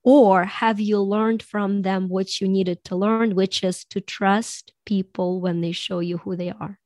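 A woman with a raspy voice, a coach talking reflectively in a podcast conversation, with many "you know" asides. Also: medium pitch, steady tone, measured pace, darkish tone, dry recording, clean studio sound. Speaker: possibility coach.

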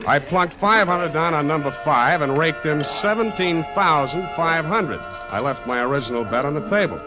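An actor playing a wry, tough private detective in 1940s radio drama style, with clipped deadpan delivery. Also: male voice, on the high side, moderate pace, music in the background, lightly acted. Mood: brisk, wry narration. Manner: wisecracking, deadpan, clipped hard-boiled delivery. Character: wry, tough male private detective narrating his case.

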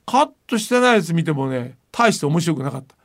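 An older man hosting a podcast, talking in a casual, rambling way with blunt asides and rough slang. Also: fairly high pitch, very animated, fast. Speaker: podcast host. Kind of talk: casual chat.

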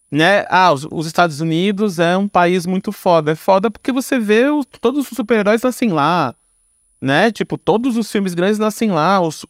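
A Brazilian man in his forties with a fairly high voice, recorded close and dry. He talks as a podcast guest in an informal, slangy way, thinking aloud and explaining through examples. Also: no echo. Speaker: podcast guest, storyteller and creator. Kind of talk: casual, reflective interview talk.

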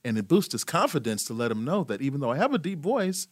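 A middle-aged man, a guest in an interview, talking about his son in a relaxed, rambling way with lots of fillers. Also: medium pitch, fast, no echo, clean studio sound. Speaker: guest, parent. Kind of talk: casual interview conversation.

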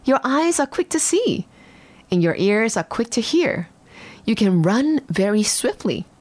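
A gentle male magician, as a woman reading a bedtime story puts him on, soft-spoken and grateful, lifted noticeably higher than the reader's own voice. He speaks slowly and tenderly, a storybook wizard granting a gift. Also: sing-song.

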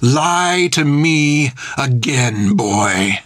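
A cruel, seething pirate captain voiced by a man spits each word and roars his threats. The voice rises well above his usual pitch in hot anger, in a dry, close recording with no room sound. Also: lively intonation, very slow, a bit bright, dry recording, full character voice. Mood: menacing.

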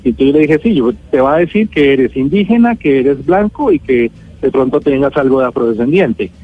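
A man's voice: mid-range voice, animated, fairly quick, slightly rough, dry recording. A man with a Colombian accent explaining as an expert guest in a radio interview, emphatic and given to everyday examples. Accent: Colombian Spanish. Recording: narrow phone-like line, music.

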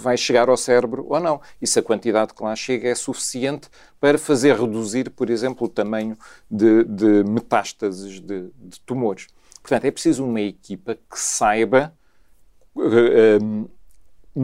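A man with a European Portuguese accent, a scientist explaining his research in a measured way with frequent repetitions. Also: medium pitch, darkish tone. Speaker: scientist guest.

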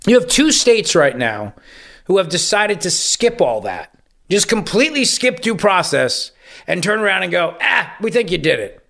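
A middle-aged male talk radio host with a gravelly, somewhat high voice. He riffs through an opinionated monologue, full of sarcasm. He acts out quick imagined exchanges along the way. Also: big pitch swings, a bit bright. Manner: sarcastic, acts out hypothetical quotes.

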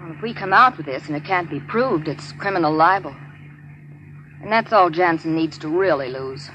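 A woman's voice: low voice, animated, moderate pace, a bit bright, lo-fi sound. An actress playing an earnest, ambitious young woman lawyer in a 1940s radio crime drama, insistent and wary. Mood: wary, challenging. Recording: slightly noisy 1940s radio recording.

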